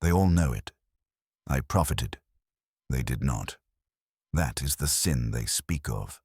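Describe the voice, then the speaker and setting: A man's voice: a bass voice, lively intonation, a little mellow, breathy edge, dry recording, studio quality, exaggerated voice. A man voicing a frightened, guilty society woman in an audiobook, with an anxious manner. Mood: anxious, confessing. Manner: rushed, anxious.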